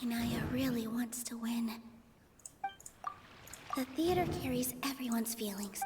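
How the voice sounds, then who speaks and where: An actress playing an earnest, gentle but determined young dancer in a game, speaking sincerely. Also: female voice, fairly high pitch, steady tone, unhurried, noisy background, close to an everyday voice. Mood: anxious, inward. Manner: sincere, heartfelt. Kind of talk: scripted game dialogue.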